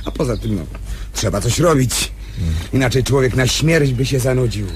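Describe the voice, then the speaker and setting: An actor playing an obliging, plain-spoken sanatorium gardener in a radio drama, folksy and matter-of-fact. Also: male voice, mid-range voice, moderate pace, slight background noise, lightly acted. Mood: chatty.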